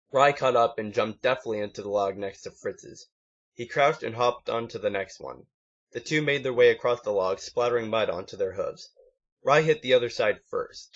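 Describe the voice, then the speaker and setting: A man narrating a story as an amateur reader, halting now and then and stumbling over words. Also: lowish voice, a bit bright. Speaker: amateur fan fiction reader. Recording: muffled lo-fi home recording.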